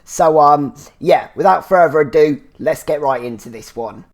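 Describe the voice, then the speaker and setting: A man's voice: fairly high pitch, moderate pace. A man hosts a casual solo review show. He sounds a little congested and phlegmy, and he talks in a chatty, rambling way with lots of "so yeah" restarts. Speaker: podcast host reviewing a TV series.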